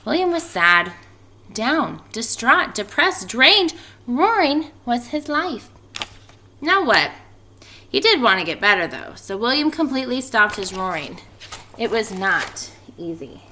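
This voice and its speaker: Animated, bright-voiced woman reading to kids. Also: on the high side, a little slow, a little hiss or hum. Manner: animated, expressive delivery with emphatic stretched words. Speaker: teacher reading a storybook aloud to pupils. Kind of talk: expressive children's story read-aloud.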